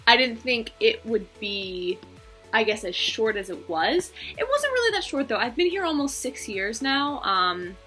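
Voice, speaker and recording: A woman's voice: on the high side, big pitch swings, a little slow, pushed and bright, faint music behind. A woman in her twenties with an American accent, talking casually and chattily to her channel's viewers, breaking off into small asides. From England, United States and Belgium. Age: 20s. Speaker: video channel host. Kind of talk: casual personal vlog talk.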